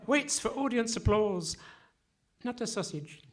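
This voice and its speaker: A woman's voice: a little high, lively intonation, measured pace. A woman playing a naive, dramatic young boy scout who reads out his own stage directions in a parody of old radio comedy. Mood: earnest, hapless. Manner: melodramatic, self-narrating. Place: live on stage in a hall.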